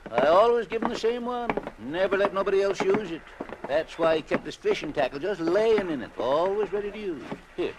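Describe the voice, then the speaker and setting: An actor playing a kindly, chatty small-town boat dock owner with a rustic, ungrammatical American way of talking, in 1950s radio drama style. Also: male voice, sits high, animated, a little fast. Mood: helpful, emphatic. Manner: rustic, ungrammatical, emphatic talk. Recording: noisy vintage radio recording.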